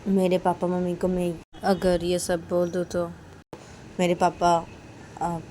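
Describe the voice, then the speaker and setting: A woman's voice: lowish voice, dark tone, a little hiss or hum, close to an everyday voice. A woman voicing a possessive, insistent young woman. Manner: insistent, pressing with repeated questions.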